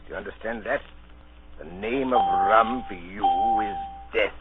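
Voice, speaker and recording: A man's voice: fairly low pitch, a little slow, narrow, tinny audio, over loud music, close to an everyday voice. Man acting a brusque, scolding doctor. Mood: stern, scolding. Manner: blunt, lecturing. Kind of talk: 1930s radio acting. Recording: thin, noisy old radio recording.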